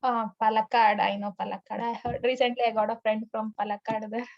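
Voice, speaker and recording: Casual high-voiced woman instructor. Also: level tone, creaky, highs cut off. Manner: casual, quick to agree, repeats "okay". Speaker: course instructor. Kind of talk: leading class introductions.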